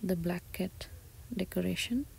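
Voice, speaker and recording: A woman shopping vlogger giving soft, breathy, often half-whispered ASMR-style commentary as she describes items one by one inside a store. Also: fairly low pitch, unhurried, poor audio. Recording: store ambience noise behind soft voice.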